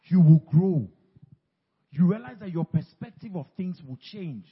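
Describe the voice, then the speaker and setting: Male pastor, husky, urging, echoing church hall, muffled sound. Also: sits high, lively intonation, a little slow. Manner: urging, repeats phrases, teases congregation members. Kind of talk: sermon exhortation.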